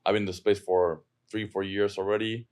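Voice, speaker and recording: A man's voice: lowish voice, little pitch movement, fairly quick, darkish tone. A man with an Argentine accent, a property manager talking as a podcast guest, earnest and often repeating words as he thinks. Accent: Argentine Spanish. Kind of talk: casual podcast chat.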